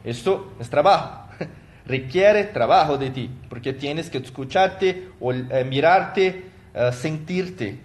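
A man with a slightly higher voice, whose first language is Portuguese, hosts a live stream as a motivational coach. His delivery is halting, full of fillers, restarts and small self-corrections, and he thanks viewers by name as they comment. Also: animated.

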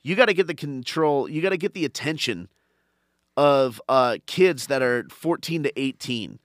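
A man who hosts a comedy podcast, telling stories in animated casual chat and acting out crowd voices. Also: mid-range voice, normal speed, no echo, clean studio sound. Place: podcast studio.

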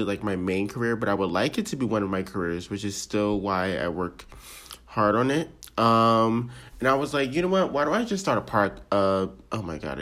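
A man's voice: mid-range voice, fairly bright tone. A nineteen-year-old man hosting a casual solo podcast intro, rambling and self-deprecating with lots of ums and little laughs, his voice a bit off from being sick. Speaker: podcast host.

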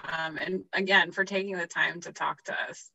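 Chatty chicago woman interviewing, crisp bright voice, giggly. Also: fairly low pitch, steady tone, moderate pace, muffled recording. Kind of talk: casual interview questions.

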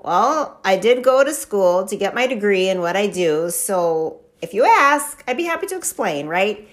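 Chatty, lively woman podcast host. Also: medium pitch, moderate pace. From Wisconsin. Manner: chatty, enthusiastic, lots of fillers like "right?" and "like". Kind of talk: casual motivational talk.

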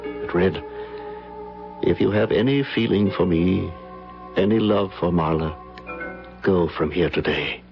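An actor playing a distraught, injured young man in love in an old-time radio drama, speaking intensely and haltingly. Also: low voice, vocal fry. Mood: grim, intense. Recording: dull vintage radio recording with music.

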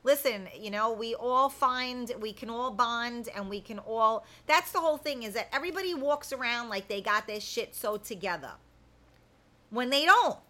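A woman's voice: on the high side, expressive, normal speed, bright, forceful tone. A woman in her fifties hosting a casual live chat, talking to viewers in a candid, blunt, colloquial way. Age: 50s. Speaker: host of a live chat show.

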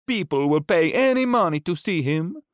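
A man's voice: high-pitched, animated, moderate pace, no room sound, clean studio sound, pitched above the performer's own voice. A man voicing a proud, eager monkey showing off a rare animal in a storybook reading, announcing grandly.

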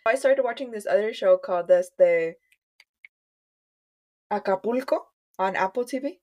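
A woman's voice: medium pitch, unhurried, mellow. A woman co-hosting a podcast in casual chat, mixing English and Spanish with occasional hesitant fillers. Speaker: podcast co-host.

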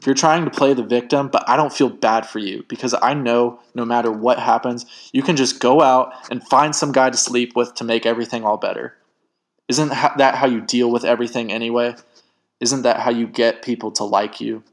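A man's voice: mid-range voice, steady tone, fairly quick, natural voice. A man voicing a bitter, irritable man arguing in a story, sarcastic and emphatic. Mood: cold, cutting. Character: bitter, irritable, argumentative man in a breakup fight.

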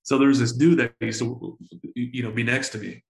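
A man in his forties telling stories in a casual interview, full of slang and swearing, excitable and often repeating himself. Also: mid-range voice, moderate pace, vocal fry, roomy sound, low-quality recording. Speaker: entrepreneur guest.

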